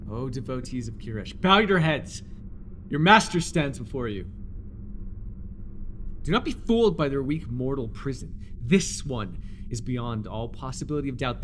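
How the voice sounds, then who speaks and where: A man playing a devoted, obsessive cult servant and captor in an intimate ASMR roleplay, speaking reverently and haltingly, with sighs and stammers. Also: medium pitch, slight background noise, close to an everyday voice. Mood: grandiose, proclaiming.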